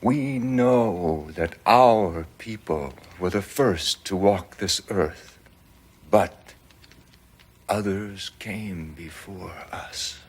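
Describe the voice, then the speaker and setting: A man playing a composed military colonel, speaking in a measured, solemn storyteller's way in a television drama. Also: low-pitched, breathy edge, heavily acted. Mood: solemn storytelling.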